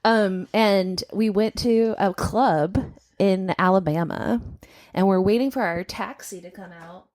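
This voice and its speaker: An American woman co-hosting a podcast. She speaks at a medium pitch in a casual, conversational way, as if telling stories to a friend. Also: a little slow, a little mellow. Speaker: podcast co-host. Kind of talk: casual anecdotal chat.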